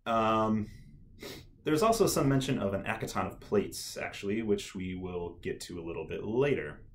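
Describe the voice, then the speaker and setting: A man's voice: on the low side, normal speed, a little hiss or hum. A young man hosting a history video, talking casually to camera in a small room, with plenty of ums and an enthusiastic, nerdy tone. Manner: relaxed, many ums and uhs, nerdy enthusiasm. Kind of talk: casual educational talk to camera. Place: small room indoors, dark backdrop.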